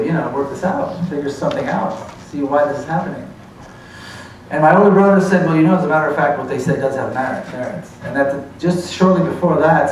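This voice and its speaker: A man's voice: mid-range voice, slight background noise. A man in his forties from Israel with an Israeli accent, earnestly telling his story in a university lecture. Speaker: speaker. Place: lecture hall. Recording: echoey hall from far off.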